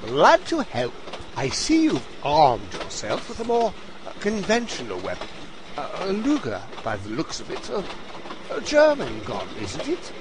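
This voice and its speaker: An actor playing a theatrical, self-dramatising gentleman detective in an audio comedy drama, with a declamatory manner. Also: a little high, wildly swooping pitch, measured pace, background noise. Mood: dry.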